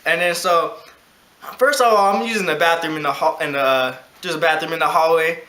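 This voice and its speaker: High bright-voiced young guy, swears a lot, echoey room at home. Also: fairly quick. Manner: loose, profane, laughing storyteller. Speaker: co-host. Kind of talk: casual storytelling chat.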